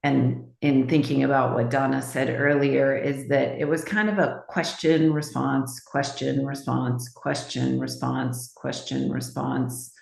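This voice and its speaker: A woman's voice: extremely low pitch, fairly even intonation, a little slow, creaky, hardly any pauses, darkish tone, roomy sound. A woman in a peer discussion group, without a pastoral background, who thinks aloud and explores her questions as she talks.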